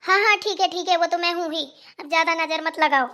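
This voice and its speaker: A woman's voice: very high-pitched, fast talker, bright, forceful tone. A woman voicing a sassy, vain and impatient younger sister-in-law, teasing and nagging in a cartoon style. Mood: vain, bossy. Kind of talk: Hindi family cartoon voice-over.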